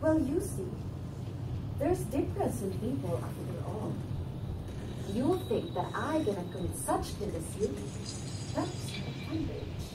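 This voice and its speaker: A woman's voice: fairly high pitch, big pitch swings, measured pace, very noisy. A young woman playing a proud, accusing woman in a stage play, declaiming emphatically. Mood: menacing. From Philippines. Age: young adult. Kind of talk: theatrical stage acting of a classic play. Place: indoor living-room stage set. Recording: roomy, distant microphone, music behind.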